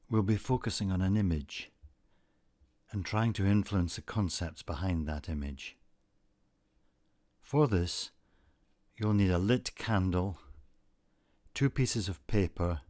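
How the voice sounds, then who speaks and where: A man with a low-pitched voice leads a guided meditation at a very slow pace. His delivery is calm, deliberate and scripted, with gentle instructions. The sound is close and dry. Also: no room sound.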